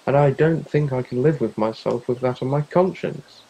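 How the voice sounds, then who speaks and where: Caring, worried teen boy, young actor, earnest stage drama, mellow mid-range voice. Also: mid-range voice, normal speed, creaky, husky, low-quality recording. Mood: worried, pleading. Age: young adult. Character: worried, caring teenage boy, loyal friend. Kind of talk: youth theatre stage drama acting.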